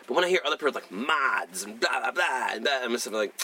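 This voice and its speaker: A man's voice: mid-range voice, lively intonation, quick pace, crowd noise. A young man co-hosting a podcast, telling rambling stories in casual chat, swearing and filling his talk with "like" and "dude". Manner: profane, rambling storyteller, lots of "like" and "dude".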